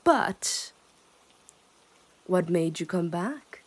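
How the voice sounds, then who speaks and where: A woman's voice: on the low side, lively intonation, very slow, heavily acted. A woman playing a possessive, smug yandere kidnapper in an intimate ASMR roleplay, teasing and condescending as she often dips into whispers.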